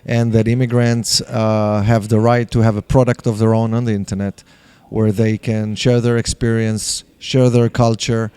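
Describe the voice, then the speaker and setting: A man with an Israeli accent, a startup founder speaking earnestly at an event, pausing often with fillers. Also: mid-range voice, warm tone, clean tone, no echo. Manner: measured, earnest, hesitant with fillers.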